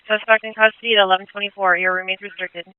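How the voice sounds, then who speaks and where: A male police dispatcher speaking over radio traffic in a calm, clipped way, repeating back information. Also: sits high, rapid-fire, clean tone, phone-quality sound. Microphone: dispatch console radio.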